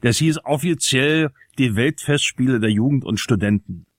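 A man from East Berlin hosting a radio talk show, speaking in a slow, deliberate way. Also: mid-range voice, dry recording, studio quality. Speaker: radio host.

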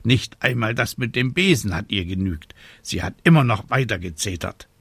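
A stubborn little storybook witch, voiced by a man, grumbling and emphatic. The voice is husky and creaky, with lively pitch and a vengeful edge. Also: moderate pace, dry recording, full character voice. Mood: vengeful, indignant.

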